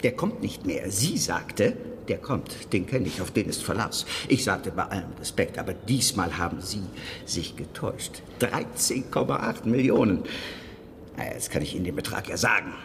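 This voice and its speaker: An actor playing a brusque, officious security manager in a radio crime drama, speaking in a curt, businesslike way. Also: male voice, sits low, expressive, moderate pace, creaky, a bit bright, full character voice. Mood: grudging. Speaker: supporting character. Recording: long hall reverb, faint noise.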